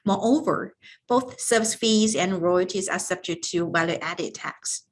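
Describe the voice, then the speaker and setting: A woman presenting scripted business material in a formal, explanatory way, often hesitating as she reads. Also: fairly low pitch. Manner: formal, halting reading with frequent hesitations. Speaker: business tax advisory presenter.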